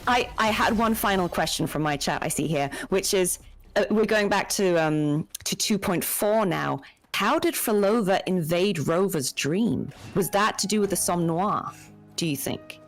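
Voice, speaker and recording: A woman's voice: on the low side, lively intonation, a little slow, faint music behind. A woman guest chatting casually, friendly and upbeat, with lots of agreeing fillers. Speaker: voice actor guest. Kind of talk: casual chat.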